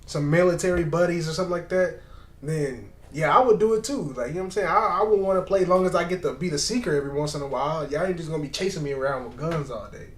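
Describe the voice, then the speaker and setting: A man hosting a reaction video, talking in a casual, animated, slangy way and repeating himself for emphasis. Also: on the high side, quick pace, reverberant.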